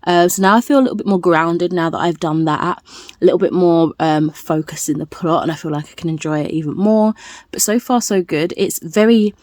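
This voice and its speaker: A woman's voice: on the low side, fairly quick, darkish tone, no echo. A woman talking casually to her viewers as a book vlogger, in a playful, rambling, self-deprecating way. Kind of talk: casual chatty vlog to viewers.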